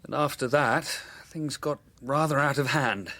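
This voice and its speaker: An actor playing a matter-of-fact newspaper reporter in a radio drama, measured and candid. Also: male voice, mid-range voice, pushed and bright, no echo. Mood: earnest, reflective.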